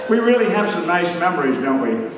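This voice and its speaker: A man's voice: high voice, sing-song, a little fast, crowd noise. An older man playing a bald, befuddled husband in a scripted stage comedy routine with his wife. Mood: earnest. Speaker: comedy duo performer. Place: theater stage before an audience. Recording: echoey theater hall, distant mic, noisy.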